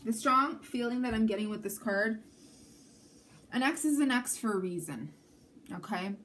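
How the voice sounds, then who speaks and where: A woman's voice: fairly high pitch, animated, moderate pace, fairly bright tone, a little hiss or hum. A woman from Canada whose first language is Armenian, giving a chatty tarot reading to camera and often checking in with the viewer. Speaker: tarot reader.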